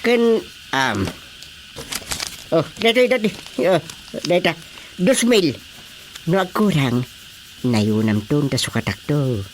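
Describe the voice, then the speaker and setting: A man's voice: a little high, animated, slow pace, long pauses, a little hiss or hum. A man playing a jovial, wheedling middle-aged village chairman in a comic radio drama, with an Ilocano accent and exclamatory, theatrical delivery. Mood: evasive, haggling.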